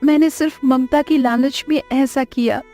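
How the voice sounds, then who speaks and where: A woman plays a humble village fisherwoman in a cartoon, full of remorse. Her voice is fairly high and pleading, over soft music. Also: steady tone, normal speed. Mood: sorrowful, guilty. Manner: pleading, self-blaming. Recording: music under the voice.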